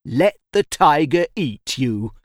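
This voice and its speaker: A woman's voice: low-pitched, very animated, no room sound, studio quality, exaggerated voice. A woman voicing a tired, bitter, overworked donkey in a storybook, complaining wearily. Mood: weary, bitter. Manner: weary, drawn-out complaining.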